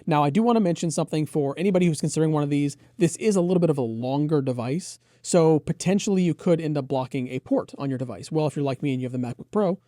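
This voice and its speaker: Mellow-voiced man presenting gear. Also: a little high, quick pace, few pauses, dry recording, clean studio sound. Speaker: product reviewer and presenter. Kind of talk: enthusiastic product review, explanatory.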